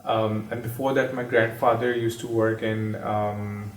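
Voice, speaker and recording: A man in his thirties from Pakistan tells a personal story slowly and reflectively, with many ums and restarts. His voice stays flat and even, heard from some distance in an echoey room. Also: fairly low pitch. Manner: hesitant, many fillers and restarts.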